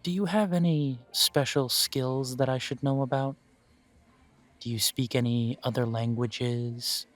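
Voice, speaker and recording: A man voicing a polite male elf who owns a coffee shop, interviewing the listener for a job in a calm, formal way. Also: medium pitch, a little slow, lightly acted. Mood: courteous. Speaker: shop manager interviewing an applicant. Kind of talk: audio roleplay, talking to the listener.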